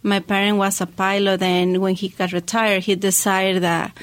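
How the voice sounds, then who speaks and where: A woman guest with a Mexican accent tells personal stories. Her voice sits at a middle pitch. A native Spanish speaker, she phrases things conversationally and a little haltingly. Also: little pitch movement. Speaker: interview guest, magazine founder.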